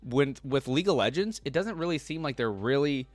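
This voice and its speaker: A man's voice: medium pitch, animated, a little fast, no room sound. A man hosting a casual reaction video, talking in a rambling, chatty way with lots of fillers and restarts. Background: faint music playing.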